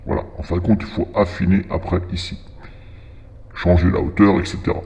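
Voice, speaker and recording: A man walks through software in a very low voice, processed by a demonic voice changer. Long reverb trails his words as he rambles and thinks aloud. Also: little pitch movement, brisk, highs cut off. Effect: demon voice changer: lowered pitch, reverb. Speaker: software tutorial host. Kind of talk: informal screen-recorded software tutorial. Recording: long echoing reverb from effect.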